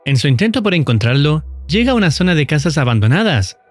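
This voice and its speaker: A man giving scripted, explanatory narration in a measured, formal storytelling manner. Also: a little high, sing-song, no room sound, soft background music. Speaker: narrator of a horror series recap.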